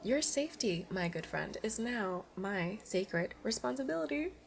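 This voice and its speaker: A woman's voice: medium pitch, animated, a little slow, vocal fry. A woman playing a playful, pleading young alien princess at college in an ASMR roleplay, chatting and coaxing the listener directly. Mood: delighted.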